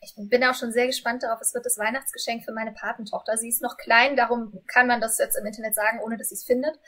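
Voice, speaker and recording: A woman's voice: fairly high pitch, steady tone, quick pace, pushed and bright. A woman in her thirties conducting a conversational interview over a video call. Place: room with bookshelves, video call.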